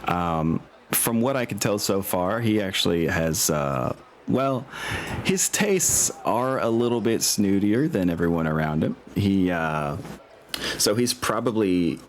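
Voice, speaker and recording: Deep-voiced guy game mastering a tabletop rpg, informal and kinda off the cuff, noisy background. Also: animated, measured pace. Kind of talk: narrating a tabletop role-playing game.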